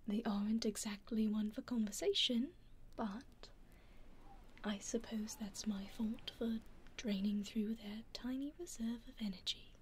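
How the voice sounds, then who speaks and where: A British woman playing a centuries-old vampire lady, aloof yet caring, in a breathy, hushed whisper close to the microphone for ASMR roleplay. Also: a little high, slight background noise, close to an everyday voice. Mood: gentle. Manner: hushed, unhurried, intimate. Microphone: close binaural microphone.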